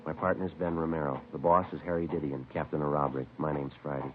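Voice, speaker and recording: Dry radio-drama cop, very low clipped deadpan, rapid delivery, tinny noisy vintage recording. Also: male voice. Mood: flat, matter-of-fact. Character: terse, dry, no-nonsense male police detective sergeant. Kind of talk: 1950s radio drama acting, understated.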